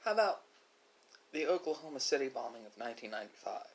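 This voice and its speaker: Male, mid-pitched voice, highly animated intonation, reflective monologue, dramatic pauses, emphatic phrasing. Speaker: video creator.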